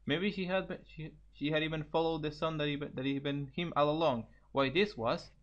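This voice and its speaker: A man's voice: a little high, steady tone, normal speed, highs cut off. A man reading a story aloud informally, often stumbling and repeating phrases as he goes. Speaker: co-host reading fan fiction aloud.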